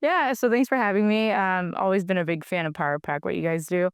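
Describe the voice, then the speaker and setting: A woman's voice: on the low side, a little fast, clean tone, dry recording, studio quality. A woman in her thirties from the Philippines, a native Tagalog speaker, talking casually as a podcast guest with many "like"s and restarts. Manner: chatty, heavy use of "like", frequent restarts. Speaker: podcast guest, physical therapist. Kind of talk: casual conversation.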